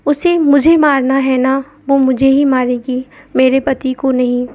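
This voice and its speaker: A woman's voice: high-pitched, level tone, a little slow. A woman voicing a determined young wife in her own voice, speaking resolutely. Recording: dull lo-fi with background music.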